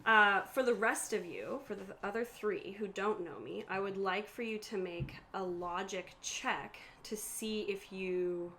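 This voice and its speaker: A woman's voice: mid-range voice, unhurried, hardly any pauses, sharp tone. A woman who is getting over a cold, running a tabletop game and explaining the rules to her players. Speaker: game master. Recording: faint room noise.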